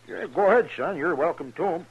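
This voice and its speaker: An actor playing a hospitable old buffalo hunter with an American Western accent and a genial, folksy manner. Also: male voice, on the high side, expressive, a little fast, slightly rough. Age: older. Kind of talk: 1950s radio western acting. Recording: muffled, hissy old radio recording.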